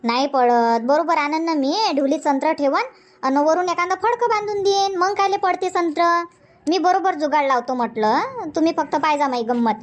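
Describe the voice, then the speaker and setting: Cartoon comedy housewife, played by an actor: very high voice, chatty, insistent nagger, rural Vidarbha Marathi accent. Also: moderate pace, close to an everyday voice. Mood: stubborn, explaining.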